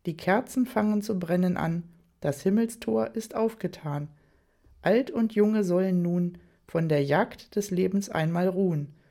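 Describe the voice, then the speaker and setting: A narrator voicing a cheerful male colleague who recites a Christmas poem slowly and evenly. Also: sits high, gravelly, warm tone. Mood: reciting.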